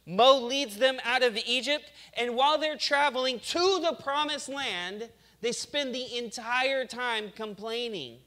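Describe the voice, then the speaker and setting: A man's voice: very high-pitched, measured pace, few pauses, pushed and bright. A male pastor giving a conversational sermon, acting out the people he talks about. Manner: conversational preaching, acts out complainers.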